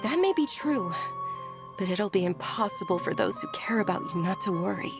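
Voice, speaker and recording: A gentle, caring young noblewoman, voiced by a woman with a mid-pitched, soft-spoken voice. She speaks politely and a little hesitantly in a game's story dialogue, with faint music in the background. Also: expressive, normal speed, close to an everyday voice. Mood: concerned. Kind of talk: game voice acting, story dialogue.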